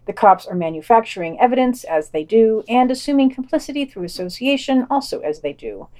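A woman's voice: a little high, lively intonation, fairly quick, a little mellow. A woman hosting a podcast, reading scripted news items and adding dry, wry asides.

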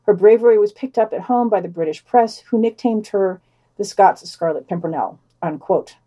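A woman's voice: medium pitch, normal speed, warm tone. A woman reading a scripted podcast news digest, adding wry, sardonic commentary in a dry manner.

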